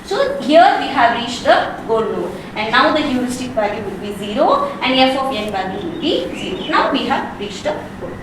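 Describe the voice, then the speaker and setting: A woman's voice: fairly high pitch, sing-song, moderate pace, few pauses. A woman assistant professor with an Indian accent, explaining step by step in a hesitant, repetitive way, as in a classroom. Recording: very echoey room, distant mic, noise.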